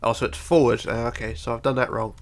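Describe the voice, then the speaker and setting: A man's voice: mid-range voice, level tone, quick pace. A man with a bunged-up, congested voice giving a casual step-by-step building tutorial, counting aloud methodically. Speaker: tutorial host.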